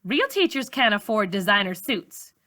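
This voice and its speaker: A woman voicing a composed, businesslike female teacher of forty from a storybook, measured and matter-of-fact. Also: mid-range voice, big pitch swings, pushed and bright. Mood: cool.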